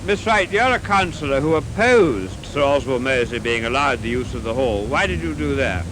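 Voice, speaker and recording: A man's voice: medium pitch, animated, normal speed. A male television reporter putting direct, pressing questions in a news interview. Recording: noisy 1950s outdoor archive film sound.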